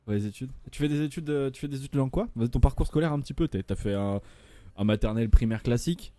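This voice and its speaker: Un homme qui anime une émission d'interview, pose ses questions de façon hésitante, avec beaucoup de « euh », et relance son invitée. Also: male voice, mid-range voice, quick pace, warm tone, dry recording. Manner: hesitant, many fillers, relaunching questions. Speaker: host. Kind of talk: casual interview hosting.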